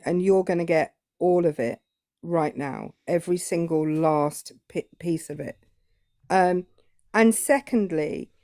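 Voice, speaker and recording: A woman's voice: fairly low pitch, warm tone, dry recording, clean studio sound. A British woman arguing politics in a live debate, cutting and sarcastic, often cutting in. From Britain.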